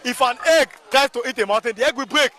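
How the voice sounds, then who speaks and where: A man with a strikingly high voice and a Yoruba-tinged Nigerian accent argues forcefully in the open air. He repeats himself for emphasis and keeps asking whether you understand. A faint murmur of people can be heard around him. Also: quick pace, a crowd in the background. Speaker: local spokesman of a political movement. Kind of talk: impassioned street interview.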